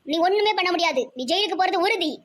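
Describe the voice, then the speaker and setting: A woman's voice: very high-pitched, animated, fast, a bit bright. A woman voicing a bossy, scolding female in a comic cartoon, with a Tamil Nadu accent. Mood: stern, scolding. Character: bossy, scolding women: policewoman and village neighbours.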